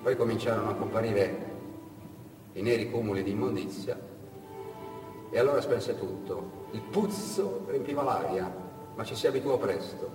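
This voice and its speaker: A man giving a dramatic theatrical narration of a story, deliberate and intense, in short measured phrases. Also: lowish voice, lively intonation. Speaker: stage actor and storyteller. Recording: echoey hall, distant mic, music behind.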